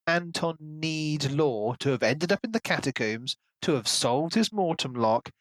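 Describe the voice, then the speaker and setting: A man's voice: fairly high pitch, expressive, a little slow, a bit bright, dry recording. A man co-hosting a podcast, casually discussing a book and telling it like a story, often acting out imagined dialogue. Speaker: podcast co-host.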